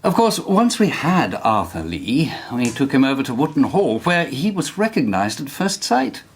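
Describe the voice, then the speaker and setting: An actor playing a brisk, commanding Victorian police inspector in a radio drama, dry in manner. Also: male voice, medium pitch, sing-song, slightly rough. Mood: measured.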